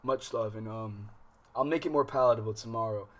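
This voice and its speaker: A man chatting casually as a livestream host, animated, with slangy, profane speech and rambling unfinished thoughts. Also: on the low side, fairly quick. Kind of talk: casual livestream chat, reacting and addressing viewers.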